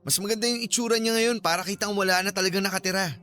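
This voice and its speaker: A man's voice: sits high, steady tone, racing, pushed and bright, soft background music. A man playing an eager young ghost-hunting vlogger, polite and persuasive, in a Filipino radio drama.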